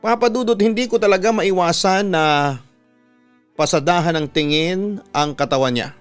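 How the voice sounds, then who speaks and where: A man's voice: high-pitched, normal speed, clean tone. A Filipino man, a radio announcer, reading out a confessional letter as a dramatic storyteller. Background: background music.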